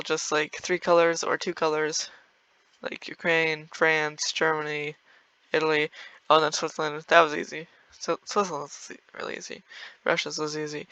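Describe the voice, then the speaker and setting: A young man with a fairly high, bright voice talks loosely through a strategy game, stumbling over his words and laughing now and then. His tone is smooth and clear, recorded close and dry with no room sound. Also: no echo, rough recording. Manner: rambling, filler-heavy, laughs often. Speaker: gameplay video creator. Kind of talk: casual gameplay commentary.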